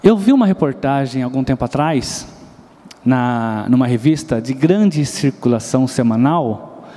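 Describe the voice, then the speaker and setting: A man's voice: medium pitch, lively intonation, a little slow, warm tone. A man with a Brazilian Portuguese accent preaching a sermon in a church, telling stories, asking the congregation questions and singing bits of nursery rhymes. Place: church hall. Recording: long hall reverb.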